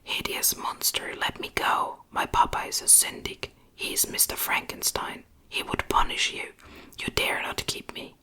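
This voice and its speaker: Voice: unhurried, pushed and bright. A narrator voicing a terrified, defiant small boy in a whispered gothic audiobook, pleading and hurling insults.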